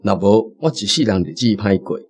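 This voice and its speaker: An actor playing an honest, bashful middle-aged village candy peddler who speaks earnestly and apologetically in a radio drama. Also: male voice, on the low side, animated, normal speed, breathy edge, soft background music. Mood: apologetic. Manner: apologetic and earnest, stubborn about making amends. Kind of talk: Taiwanese radio drama acting.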